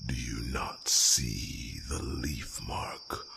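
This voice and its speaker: A man playing an ancient male dragon in an ASMR fantasy roleplay, patient and slyly amused, murmuring deliberately in a deep gravelly rumble. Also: steady tone, heavily acted. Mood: calm, insistent.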